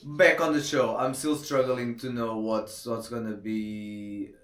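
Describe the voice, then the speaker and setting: A man's voice: medium pitch, little pitch movement, measured pace, a bit bright. A man hosting a casual podcast, talking in a loose, joking, slightly scattered way. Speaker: podcast host. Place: room in Portugal. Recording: echoey room.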